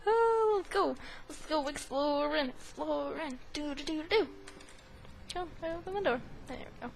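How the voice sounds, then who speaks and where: A high-voiced woman chats casually through a game playthrough. She is playful and silly, drifting into sing-song asides. There is some noise behind her from the game. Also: expressive, a little slow, gravelly. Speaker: gaming video host. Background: video game sound.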